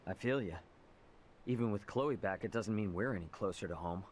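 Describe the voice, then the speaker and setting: A man's voice: lowish voice, brisk, a little hiss or hum, close to an everyday voice. An actor playing a young male hero who talks bluntly and casually in a video game. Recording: game cutscene with music behind.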